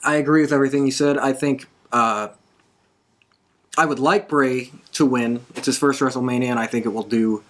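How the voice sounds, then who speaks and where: Male podcast host, average pitch, conversational, thinks out loud while sharing predictions. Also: steady tone, moderate pace. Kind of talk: casual wrestling prediction talk.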